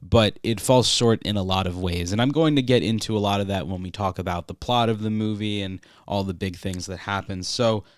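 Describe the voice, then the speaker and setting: A young man hosting his own podcast in a relaxed, rambling way, a little congested, with lots of "uh" fillers. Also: on the low side, fairly quick, few pauses, dry recording, clean studio sound. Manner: relaxed, filler-heavy, frequent "uh". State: congested from recent illness. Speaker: podcast host. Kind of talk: casual solo podcast review.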